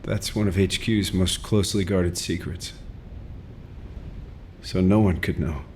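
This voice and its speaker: A man's voice: deep voice, unhurried, a little mellow, slight background noise. An actor playing a careful, respectful male officer in a video game cutscene. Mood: earnest.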